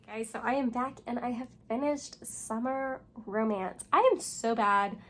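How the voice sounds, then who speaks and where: A young woman with a hoarse voice, still recovering from losing it, chatting casually about books in a vlog and rambling with lots of fillers. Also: a little high, a little slow, fairly bright tone. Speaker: booktuber. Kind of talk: casual reading vlog chat.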